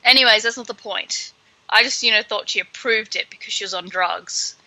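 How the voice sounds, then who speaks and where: A woman's voice: medium pitch, normal speed, pushed and bright, poor audio. A woman co-hosting a podcast, casually recapping a play in a slangy, sweary, opinionated way. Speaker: podcast co-host.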